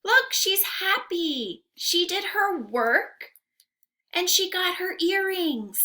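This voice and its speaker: A young woman gives an interactive read-aloud lesson for young children. Her voice is high and swings widely in pitch, and she takes her time over each phrase. She speaks in a carefully enunciated, sing-song teacher's manner. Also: big pitch swings, takes it slowly, bright, forceful tone. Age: young adult. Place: room at home.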